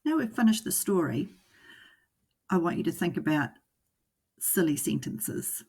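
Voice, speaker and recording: Husky woman teacher reading to young children. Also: on the low side, very animated, darkish tone. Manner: deliberate, clearly phrased. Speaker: primary school teacher. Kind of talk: storybook read-aloud and lesson for young children.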